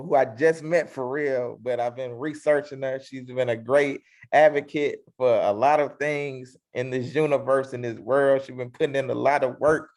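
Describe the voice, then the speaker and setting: A man hosting an interview, opening with a boastful, hyped self-introduction before asking relaxed questions. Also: mid-range voice. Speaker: host.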